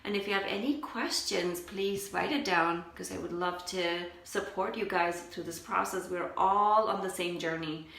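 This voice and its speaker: A woman's voice: lowish voice, moderate pace, creaky, hardly any pauses, fairly bright tone. A woman, a spiritual coach, chatting and reflecting on a livestream as she answers her viewers. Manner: chatty and reflective, often says "like". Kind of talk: casual livestream talk to viewers. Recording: echoey room.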